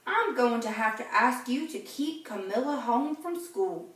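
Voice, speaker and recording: A man's voice: very high voice, lively intonation, a little slow, fairly bright tone, distant mic, heavily acted. A woman reading the lines of a formal, regretful male school principal in a storybook. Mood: apologetic. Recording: very echoey room, off-mic.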